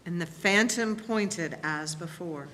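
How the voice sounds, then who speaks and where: A woman giving a deliberate, expressive dramatic narration of a story at a staged reading. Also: lowish voice. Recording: reverberant hall, faint background hum.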